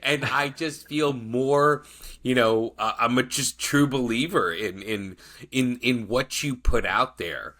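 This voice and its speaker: A man's voice: medium pitch, takes it slowly, bright, forceful tone. A man hosting a podcast, asking questions in a rambling way and searching for his words. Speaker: podcast host. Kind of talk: casual conversational interview.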